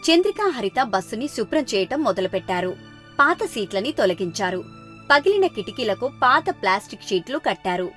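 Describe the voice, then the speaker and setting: A woman's voice: fairly high pitch, fairly bright tone. A woman storyteller reading a scripted children's moral story.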